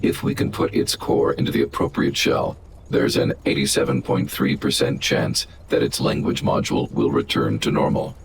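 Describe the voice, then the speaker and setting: A man playing a calm, protective guardian robot in a video game, speaking in a formal, measured, analytical way. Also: a bass voice, vocal fry, slight background noise, rough recording. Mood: calm, clinical. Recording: music behind the voice.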